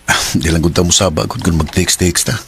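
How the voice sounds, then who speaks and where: A man with a Cebuano accent acting a smooth-talking, evasive young cheating boyfriend in a radio drama. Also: low voice, steady tone, a little fast, fairly bright tone, exaggerated voice. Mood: coaxing. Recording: music under the voice.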